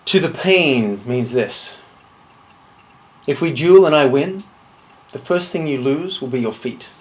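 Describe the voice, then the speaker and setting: A man playing a menacing swordsman in a deliberate, contemptuous, theatrical monologue. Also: fairly high pitch, lively intonation, natural voice. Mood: cold menace. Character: cold, menacing swordsman threatening a slow revenge. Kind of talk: dramatic monologue.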